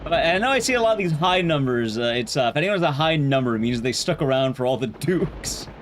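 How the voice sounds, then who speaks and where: A man's voice: on the high side, lively intonation, fast talker, a little hiss or hum. A man hosting a livestream, chatting casually with viewers in informal slang, with emphatic, teasing commentary on a game. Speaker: livestream host.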